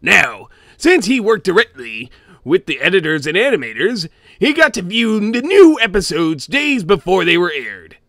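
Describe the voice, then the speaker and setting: A man voicing a gruff, greedy old cartoon sea-crab boss, gravelly and theatrical, narrating a comic scary story in character. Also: high-pitched, big pitch swings, normal speed, a bit bright, no echo, clean studio sound, exaggerated voice. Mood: wry, grumbling. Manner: theatrical, with grumbling asides and barking chuckles.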